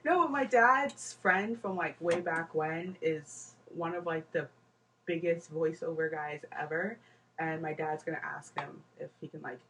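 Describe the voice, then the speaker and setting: Chatty low-voiced woman, distant mic. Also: measured pace, a bit bright. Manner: chatty, says "like" a lot. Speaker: podcast co-host. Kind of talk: casual chat. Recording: distant mic with faint noise.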